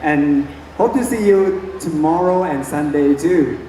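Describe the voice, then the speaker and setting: A man speaking halting, hesitant English as a guest at a fan event. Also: on the high side, warm tone. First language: Thai. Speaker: guest cosplayer. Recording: echoey hall from far off, noisy.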